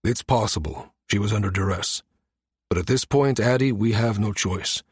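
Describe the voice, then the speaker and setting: A man voicing a firm male space station security chief in an audiobook, measured and matter-of-fact. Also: lowish voice, slightly rough, dry recording, clean studio sound. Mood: grave. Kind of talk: audiobook character dialogue.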